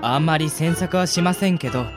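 A man playing a polite, unflappable teenage shop manager in an amateur radio drama, speaking gently and matter-of-factly. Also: a little high, brisk, music in the background, lightly acted. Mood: kind.

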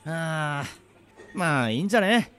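A man's voice: a little high, animated, unhurried, a bit bright, smooth, soft background music. A man playing a laid-back, blunt young coworker and friend in a radio drama, talking casually and in slang. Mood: laid-back, dismissive. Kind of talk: amateur radio drama acting.

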